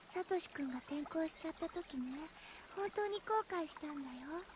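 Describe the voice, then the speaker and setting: A woman voicing a sweet teenage girl who turns eerie and menacing in a visual novel, speaking softly and coaxingly with sharp outbursts. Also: sits high, fast, noisy background, lightly acted. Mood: gentle. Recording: game audio over loud cricket ambience.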